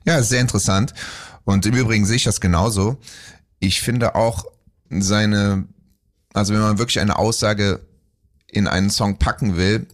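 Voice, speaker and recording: A man hosting a German radio show, interviewing a guest songwriter in the studio with friendly, lively questions. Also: fairly low pitch, moderate pace, pauses often. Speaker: radio host.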